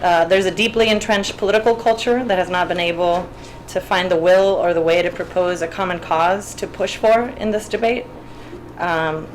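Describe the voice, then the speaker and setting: A young woman from Puerto Rico, an artist speaking on a panel, talking haltingly with many restarts and fillers. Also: on the low side, rough recording. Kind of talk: spoken panel talk. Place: event room. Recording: faint background noise.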